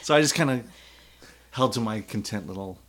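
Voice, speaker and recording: Bright-voiced, very animated man. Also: on the low side, a little fast. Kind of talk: casual chat.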